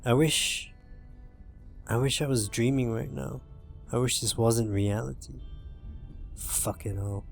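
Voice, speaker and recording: A man voicing a weary, frightened driver in a zombie visual novel, reading first-person lines in a subdued, halting, brooding way. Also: mid-range voice, steady tone, gravelly. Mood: despairing. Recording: music behind the voice.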